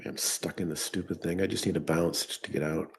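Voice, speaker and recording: A man hosting a live trading show, thinking aloud as he works, his voice hoarse and fading. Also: deep voice, fairly even intonation, fast. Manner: thinking aloud while working on screen.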